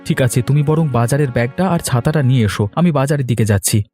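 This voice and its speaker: A man's voice: mid-range voice, very fast, a little mellow, soft background music, close to an everyday voice. A man voicing a hard-up, dutiful, henpecked husband in a moral cartoon. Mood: resigned, worried. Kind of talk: Bengali moral cartoon dubbing.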